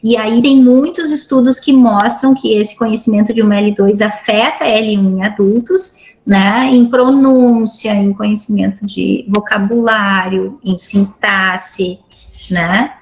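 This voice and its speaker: A woman's voice: medium pitch, steady tone, a little slow, a little mellow, low-quality recording. A middle-aged woman from Brazil giving an academic lecture over a video call, explaining patiently and checking in with frequent tag questions. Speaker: guest lecturer.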